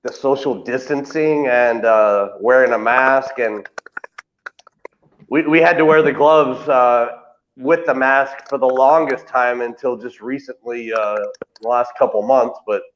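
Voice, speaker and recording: A man co-hosting a casual interview over a video call, halting and filling pauses with "uh" and restarts. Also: medium pitch. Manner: halting, many uh fillers and repeats. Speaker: co-host. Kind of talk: casual chat. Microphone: video call webcam. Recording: dull lo-fi call audio, faint hiss.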